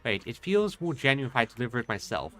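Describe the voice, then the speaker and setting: A man's voice: medium pitch, big pitch swings, quick pace, a bit bright, faint music behind. A man giving casual, rambling game commentary and reading dialogue aloud as he plays. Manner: rambling, hesitant thinking aloud. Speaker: game streamer.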